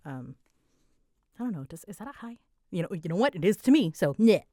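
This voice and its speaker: A woman with a slightly low voice talks informally, as a creator answering questions from listeners. She rambles in a self-deprecating, conversational way. The recording is dry, with very little room sound. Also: sing-song, a little fast, dark tone, no room sound. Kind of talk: casual rambling Q&A chat.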